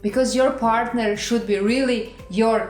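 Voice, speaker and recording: A woman's voice: a little high, steady tone, moderate pace, echoey room, over music. A woman with a Croatian accent, a native Croatian speaker, giving earnest, encouraging relationship advice as a co-host. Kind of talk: casual advice talk.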